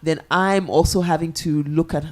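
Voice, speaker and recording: A woman's voice: low-pitched, steady tone, normal speed. A woman writer interviewing a fellow author in a live festival conversation, thoughtful and searching, with long questions that circle around their point. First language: English.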